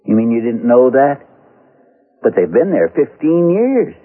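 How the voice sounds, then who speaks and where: A man's voice: mid-range voice, sing-song, a little slow. An actor playing a dogged Western lawman in a radio drama, plain-spoken and folksy as he questions people and narrates his case. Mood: probing. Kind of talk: old-time radio drama narration and dialogue. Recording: tinny old radio broadcast, music behind.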